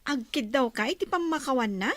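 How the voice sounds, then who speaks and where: A woman's voice: high-pitched, lively intonation, a little fast, fairly bright tone, no room sound. An actress playing a frank, teasing young woman, a confidante, in a radio drama. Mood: chiding, curious. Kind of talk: Ilocano radio drama acting.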